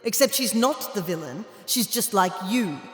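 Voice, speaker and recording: A woman's voice: mid-range voice, animated, moderate pace, lightly acted. A woman playing a sardonic, lecturing witch in a fantasy audio drama, dry and taunting. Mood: stern lecturing. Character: sardonic, lecturing witch, a voice inside a girl's head. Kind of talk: full-cast fantasy audio drama acting. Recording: echoey reverberant voice, faint music.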